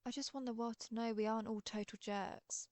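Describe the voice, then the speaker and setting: A woman's voice: on the high side, steady tone, brisk, no room sound, lightly acted. A woman playing a friendly, centuries-old female vampire who chats politely and wryly, as in a scripted roleplay interview. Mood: gracious, friendly. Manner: genteel, conversational, apologetic, gently wry. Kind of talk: scripted roleplay audio, one side of an interview.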